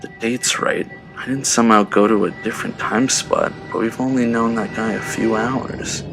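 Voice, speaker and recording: A man's voice: medium pitch, fairly even intonation, normal speed, creaky, fairly bright tone, soft background music, rough recording. A man playing an uneasy, brooding teenage superhero in an audio drama, with a casual, hesitant way of talking.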